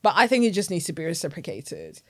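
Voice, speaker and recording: Animated Zimbabwean woman podcast co-host. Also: fairly low pitch, fast. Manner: animated, emphatic, laughs often. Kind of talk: casual chat.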